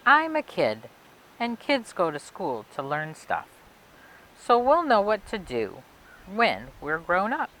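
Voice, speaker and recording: A woman reading a children's picture book aloud for a library storytime, expressively and phrase by phrase with emphatic pauses. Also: mid-range voice, huge pitch swings, a bit bright, rough recording. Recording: clear background noise and hiss.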